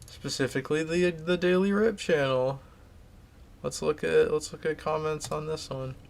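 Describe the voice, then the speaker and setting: A man's voice: on the high side, steady tone, normal speed, creaky. A man streaming live, casually chatting and reading out viewer comments, rambling and restarting his sentences.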